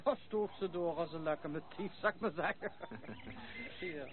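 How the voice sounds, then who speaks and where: An actress playing a good-natured, gossipy farmer's wife in a radio play, rambling. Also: female voice, fairly low pitch, measured pace, close to an everyday voice. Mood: cheerful, gossipy. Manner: rambling, folksy, chuckling. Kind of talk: Dutch radio play acting. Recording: noisy, thin phone-like old radio recording.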